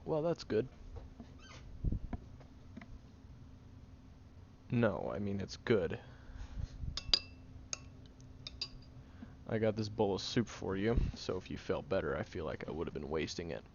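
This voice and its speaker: A man voicing a gruff, tired young tsundere man who secretly cares, halting and grumpy, in an intimate audio roleplay. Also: deep voice, expressive. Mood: flustered, tired. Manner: halting, sighing, grumpy and flustered. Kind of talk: intimate audio roleplay, acted monologue to the listener. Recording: dull lo-fi, clear background noise.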